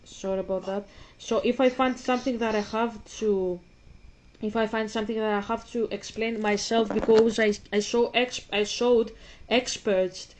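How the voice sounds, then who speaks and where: A woman's voice: fairly high pitch, fairly even intonation, normal speed, a little mellow, a little hiss or hum, low-quality recording. A woman in her twenties with a Greek accent, hosting a casual commentary, rambling with many restarts and repeats. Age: 20s. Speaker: host.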